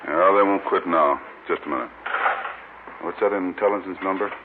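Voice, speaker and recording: A commanding, businesslike American police chief acted by a man with a very low voice. He gives curt orders in a vintage radio drama. The audio is muffled and faintly noisy. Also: moderate pace, close to an everyday voice. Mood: brisk. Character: brisk, businesslike male chief of detectives. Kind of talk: 1950s radio crime drama acting.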